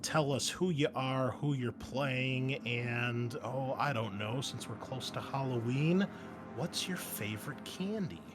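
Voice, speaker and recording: A man's voice: mid-range voice, takes it slowly, music in the background. A man hosting a tabletop game show as game master, chatting casually with joking banter and easy swearing. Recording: noisy background ambience.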